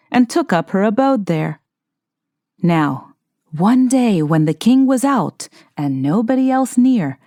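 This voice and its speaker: A woman reading a fairy tale aloud as an expressive, scripted narration. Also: medium pitch, no echo, clean studio sound. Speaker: storyteller, audiobook narrator.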